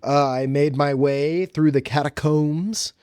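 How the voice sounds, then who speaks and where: A man's voice: a little high, steady tone, slow pace, darkish tone, clear, resonant tone, dry recording, studio quality. A man hosting a solo podcast, chatting casually and informally about films and games in slangy, hesitant phrases. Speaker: podcast host.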